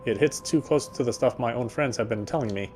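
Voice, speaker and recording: A man's voice: medium pitch, a little fast, darkish tone, slight background noise, natural voice. An actor playing a weary male city detective, narrating in the first person with a wry inner voice.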